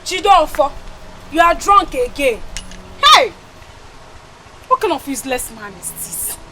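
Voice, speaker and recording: A woman's voice: sits high, very animated, unhurried, pushed and bright, full character voice. An actress playing a distraught village wife who wails and sobs for her husband, then pleads humbly. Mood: wailing. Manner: shrieking, sobbing, calling out repeatedly. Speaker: widow. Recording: music bed behind.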